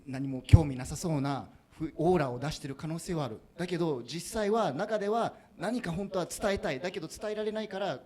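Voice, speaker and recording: A man moderating a bilingual panel at an event, quickly interpreting audience remarks into Japanese with animated energy. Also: on the high side. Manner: fast, animated, laughing often. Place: event hall.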